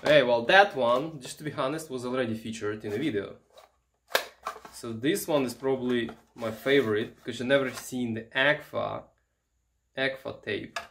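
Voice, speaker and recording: Male vlogger, mid-pitched, casual and halting show-and-tell, thinking aloud, some background noise. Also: vocal fry, fairly bright tone. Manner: halting, musing aloud while handling items. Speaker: vintage electronics vlogger.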